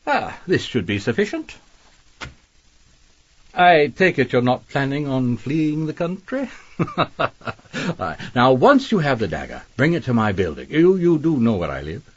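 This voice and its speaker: A scheming gentleman employer with a husky edge and very animated intonation. He is wordy, genteel and quietly commanding. The radio drama recording sounds slightly dull. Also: mid-range voice, a little slow, no echo, exaggerated voice. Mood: suave, businesslike. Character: suave scheming male employer, widowed, politely commanding.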